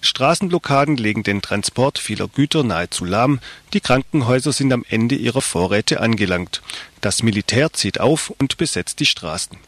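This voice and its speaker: A man's voice: medium pitch, lively intonation, normal speed, a bit bright. A man presenting a radio news magazine, reading scripted reports and introductions.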